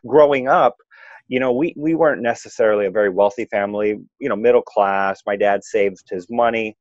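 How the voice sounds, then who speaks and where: A man's voice: on the low side, fairly quick, no echo. A man hosting an interview show, introducing his guest in an upbeat, enthusiastic way. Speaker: show host. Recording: dry, dull lo-fi sound.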